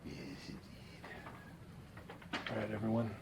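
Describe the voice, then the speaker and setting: A man talking casually with colleagues in a radio studio, off-mic amid loud noise and clicks. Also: lowish voice, completely flat delivery, a little slow. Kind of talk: casual backstage banter. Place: radio studio before broadcast.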